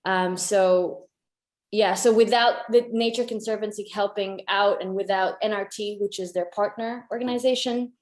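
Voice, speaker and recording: A woman's voice: mid-range voice, darkish tone. Tanzanian-raised woman photographer, 30s, chatty interview answers, echoey room. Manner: chatty, restarts often, laughs. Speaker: conservation photographer.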